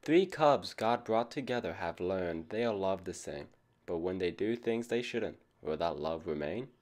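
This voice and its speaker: A man's voice: sits low, lively intonation, a little slow, a little mellow. A man reading a picture book aloud to children, addressing them like a teacher. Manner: asks young listeners questions as a teacher would. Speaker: storybook reader for children.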